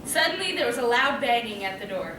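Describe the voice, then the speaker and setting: A woman's voice: a little high, sing-song, brisk. A woman narrating a scripted children's story. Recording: echoey room, distant mic, noisy.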